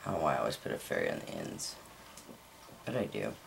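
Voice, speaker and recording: A middle-aged woman chatting casually through a craft demonstration, low-key and half muttering to herself, recorded in a noisy room at home. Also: huge pitch swings, fast talker, gravelly. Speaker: soap maker and host. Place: indoors at a home work table. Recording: noisy room, household background noise.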